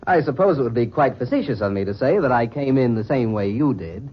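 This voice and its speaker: A man's voice: medium pitch, expressive, fairly quick, breathy edge. An actor playing a mysterious, suave and sinister man in a 1940s radio drama, speaking formally and theatrically. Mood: mockingly polite. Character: mysterious, suave, sinister masked man telling his tale. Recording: dull old 1940s radio recording.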